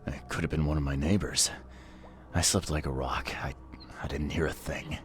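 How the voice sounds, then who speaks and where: A man voicing a private investigator who asks calm, dry questions in a video game cutscene. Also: very deep voice, normal speed.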